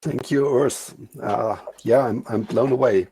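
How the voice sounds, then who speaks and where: Male host, very raspy, gravelly, mid pitch. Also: unhurried, warm tone, rough recording. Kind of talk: hosting a chat.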